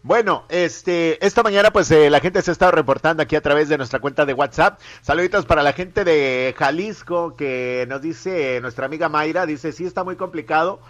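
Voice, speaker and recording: A man hosting a morning radio show with a voice a touch higher than most men's. He reads listener messages aloud and teases his co-host in a playful, joking way. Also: normal speed, few pauses, a bit bright.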